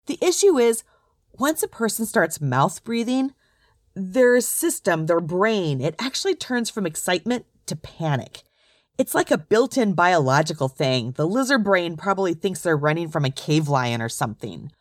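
A woman's voice: fairly low pitch, sing-song, normal speed, no echo, clean studio sound. A woman hosting a solo podcast, telling stories in a direct, conversational way from a script. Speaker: podcast host, recruiter.

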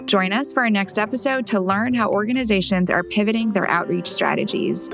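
A woman hosts a documentary podcast, reading scripted narration in a medium-pitched voice with some creak at the edges. Music plays faintly behind her. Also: a little fast.

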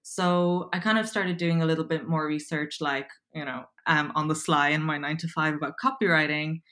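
A woman's voice: low-pitched, steady tone, fairly quick, sharp tone. A woman in her twenties with an Irish accent, chatting casually as an interview guest and filling her talk with likes and ums. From Poland. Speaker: guest, copywriter.

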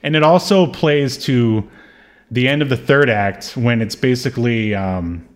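A man's voice: mid-range voice, measured pace. A man co-hosting a podcast, chatting casually about a TV show and often searching for his words. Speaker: podcast co-host. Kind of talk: casual chat, reviewing a TV show.

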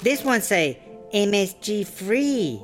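Slow, taunting tyrant boss. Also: female voice, mid-range voice, very animated, faint music behind. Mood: mock innocent, menacing. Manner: broken English, mocking, drawn-out. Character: tyrannical, taunting foreign startup boss woman. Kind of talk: broad comedy audio drama acting.

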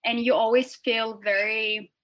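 A woman's voice: a little high, little pitch movement, a little slow, fairly bright tone, a little hiss or hum. A young woman from Norway, a guest speaking casually over a video call, hesitant with many fillers and restarts. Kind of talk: casual interview chat.

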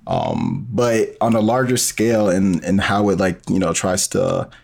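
A man's voice: deep voice, big pitch swings, a little slow, creaky, a little mellow. A man speaking as an interview guest, explaining things informally and step by step with many hesitant fillers. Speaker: podcast guest, bioinformatics professional and storyteller. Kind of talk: casual explanatory interview talk.

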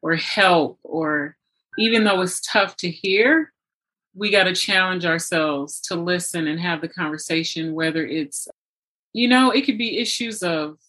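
A woman's voice: lowish voice, fairly bright tone. A woman hosting a conversational interview, speaking in an earnest, encouraging way. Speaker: interview host.

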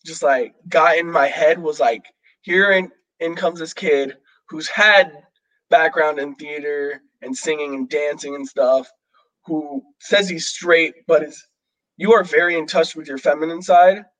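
A young man talking casually with a fellow student, his voice sitting slightly high. He rambles and restarts often, packing in fillers as he works through his thoughts. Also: fairly even intonation, measured pace, fairly bright tone, rough recording. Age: young adult. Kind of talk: casual chat.

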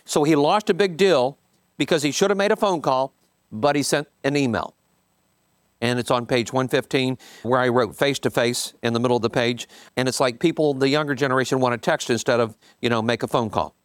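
A man's voice: mid-range voice, a little fast, dry recording, clean studio sound. A man giving an informal coaching talk, reading bits from a book and commenting, often repeating points for emphasis and checking in with listeners. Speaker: business coach leading a group session.

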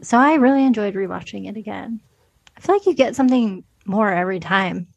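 A woman's voice: mid-range voice, brisk, gravelly, a little mellow, no room sound, muffled recording. A woman co-hosting a podcast, discussing films casually in an informal, hedging, conversational way. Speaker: podcast co-host.

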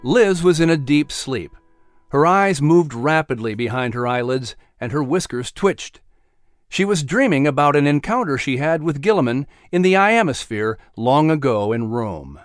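A man playing an announcer who reads a story in a measured, dramatic way, as scripted narration. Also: on the high side, animated, dry recording, studio quality, soft background music, close to an everyday voice. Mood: narrating.

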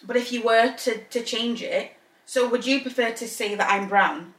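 A woman's voice: on the high side, normal speed, fairly bright tone, far from the mic. Zambian-born woman chatting in an echoey room. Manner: thinks aloud with many restarts, laughs easily. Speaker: podcast co-host. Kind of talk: casual chat. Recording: echoey room, voice a little off-mic.

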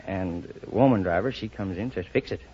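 A man with a fairly low voice gives plain, short answers as a quiz contestant. The old radio recording is dull and clearly noisy. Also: fast, mellow. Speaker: quiz show contestant, mechanic.

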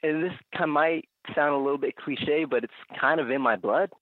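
A man's voice: a little high, a little fast, dry recording. A young man from Phoenix, a guest on a podcast, telling his story in an eager, upbeat way. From Phoenix, Arizona. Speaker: guest, urban farmer. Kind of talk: casual interview answers. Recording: tinny phone-line sound.

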